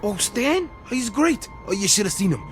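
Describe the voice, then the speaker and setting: High-voiced, rambling down-and-out man. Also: very animated, normal speed, fairly bright tone, soft background music. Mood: chatty. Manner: slangy, rambling. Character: shabby down-and-out drunk man, jittery and chatty. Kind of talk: television drama acting.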